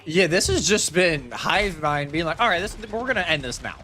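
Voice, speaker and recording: A man's voice: on the high side, very animated, moderate pace, sharp tone. A man giving live commentary on a video game match as an esports caster, speaking colloquially and emphatically.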